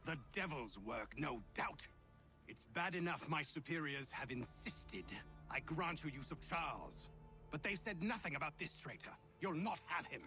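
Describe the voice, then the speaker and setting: Arrogant British general, hot-tempered, swooping scornful delivery, sharp tone, music behind. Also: male voice, fairly high pitch, animated, moderate pace. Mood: curt contempt. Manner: imperious, biting, theatrical. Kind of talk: dramatic video game cutscene acting.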